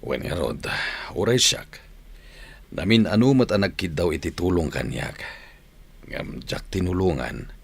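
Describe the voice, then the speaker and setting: A man's voice: low voice, lively intonation, measured pace, gravelly, long pauses, breathy edge, exaggerated voice. An actor playing a grudging, proud middle-aged family man, with a Filipino accent in radio drama style. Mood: bitter. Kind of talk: Ilocano radio drama acting. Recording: music bed under the dialogue. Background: background music.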